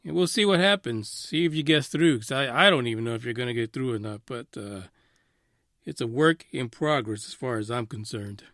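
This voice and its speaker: A man's voice: mid-range voice, a little fast, dry recording. A man hosting a casual live talk radio show, commenting on a story in an emphatic, often sweary way. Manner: animated, emphatic, frequently swearing.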